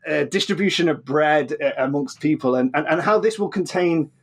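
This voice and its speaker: A man's voice: on the high side, normal speed, husky. A man with a British accent explains ideas informally as an interview guest, often repeating words and restarting his sentences. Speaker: podcast guest, physicist and author.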